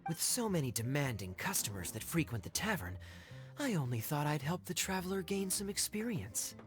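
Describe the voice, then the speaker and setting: A man playing a suave young knight in a video game, smooth-talking and slyly teasing. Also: fairly high pitch, very animated, normal speed. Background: music.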